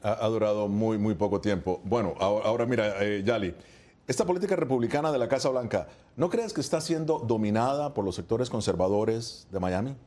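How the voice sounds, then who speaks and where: A man with a low, mellow voice anchors a studio news segment. He speaks formally and leads the panel with pointed questions. His tone stays relaxed throughout. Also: brisk. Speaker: news host.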